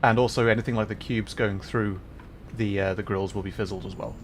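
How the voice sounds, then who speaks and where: A relaxed, low-voiced man chats through a game on stream, explaining as he goes and chuckling now and then. His speech comes through a desk mic with a faint hiss underneath. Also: fairly quick. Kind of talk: casual gameplay commentary.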